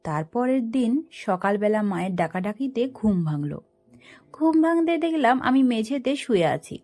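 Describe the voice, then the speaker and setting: High-pitched woman narrating a story. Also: sing-song, measured pace, dark tone. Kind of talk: scripted dramatic narration.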